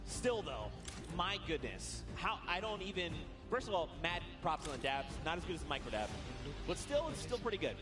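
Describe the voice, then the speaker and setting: A man calling a live esports tournament in an arena, excitable and rising to shouts on big plays. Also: on the high side, normal speed, over music. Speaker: esports caster. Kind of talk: live tournament play-by-play commentary. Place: large arena with a live audience.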